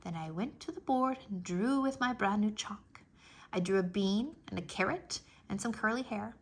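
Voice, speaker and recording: A woman reading a children's storybook aloud as narrator, expressively, with animated, childlike first-person storytelling. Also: medium pitch, normal speed, breathy edge.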